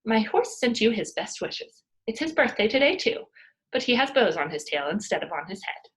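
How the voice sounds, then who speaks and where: A woman's voice: mid-range voice, big pitch swings, normal speed, natural voice. A woman reading a storybook and voicing a bold, naive little girl who is matter-of-fact and boastful. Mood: friendly.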